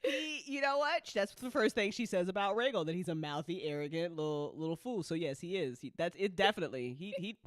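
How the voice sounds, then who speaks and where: Animated, playful woman chatting, dry sound. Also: on the low side, fairly quick, hardly any pauses, dry recording. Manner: gushing, playful, swears freely. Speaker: audio drama writer and after-show host. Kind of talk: casual panel chat.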